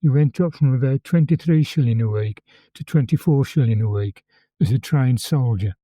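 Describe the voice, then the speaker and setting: A man in his eighties with a Birmingham accent, calmly recalling old times as an interview guest and often repeating words as he tells his story. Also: mid-range voice, moderate pace, no echo. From England. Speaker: interview guest, former conscript. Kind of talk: reminiscing in conversation.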